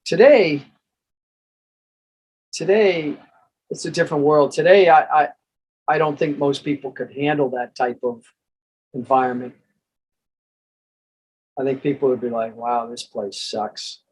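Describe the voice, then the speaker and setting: A man with an American accent and a husky voice, presenting in an informal monologue and reading from a book. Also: fairly high pitch, steady tone, a little slow, pauses often, rough recording. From United States. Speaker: presenter and reader.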